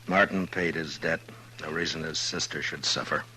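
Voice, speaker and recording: A very low, forceful male voice as a grave but gentle American frontier marshal. He is halting and courteous in the understated style of radio western drama. The old recording has a clear hum and noise. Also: steady tone, heavily acted, low-quality recording. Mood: weary, matter-of-fact. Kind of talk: 1950s radio western acting.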